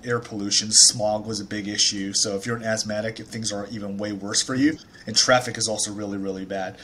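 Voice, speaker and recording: A man's voice: on the low side, fairly bright tone, a little hiss or hum. A man in his thirties from Taiwan, a startup founder telling his life story in a casual interview, chatty with many fillers and restarts.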